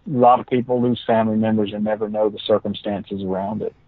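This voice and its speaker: Raspy man on a tinny phone line. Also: on the low side, flat delivery, dry recording. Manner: halting, earnest, many fillers. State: tired, late at night. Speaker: guest. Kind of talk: casual conversation.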